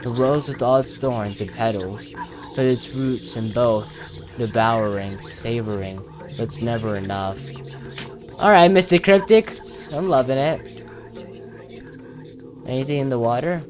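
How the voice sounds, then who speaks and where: A man's voice: mid-range voice, measured pace, long pauses, over music. A man commentating casually on his own video game playthrough, thinking aloud as he plays.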